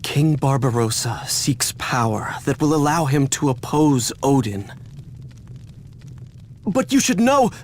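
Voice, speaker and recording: A man's voice: mid-range voice, very animated, slow pace, long pauses, darkish tone, slight background noise. A man playing a grave, remorseful mage in a fantasy video game, speaking in a solemn way. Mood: grave, apologetic.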